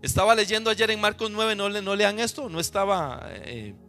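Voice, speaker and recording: Costa Rican pastor, male, bright and slightly high timbre, emphatic sermon delivery, keeps asking the congregation questions. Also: moderate pace, soft background music. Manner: emphatic preaching with repeated rhetorical questions to the congregation.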